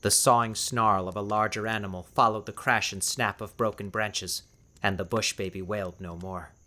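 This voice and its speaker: A man narrating scripted dramatic fiction as an audiobook reader, in a measured, descriptive manner. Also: lowish voice, steady tone, bright, forceful tone.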